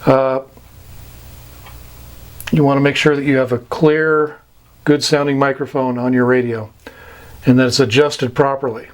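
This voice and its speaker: Male instructor, slow and deliberate, mid-pitched, halting informal explainer delivery with long pauses. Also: flat delivery.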